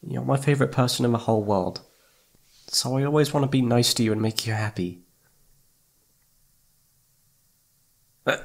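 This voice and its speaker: A sweet, needy young boyfriend, acted by a man for an ASMR roleplay. His mid-pitched voice has plenty of creak in it. He is playful and pouty, teasing closely and tripping over words when flustered. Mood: sweetly boastful. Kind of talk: intimate ASMR roleplay acting.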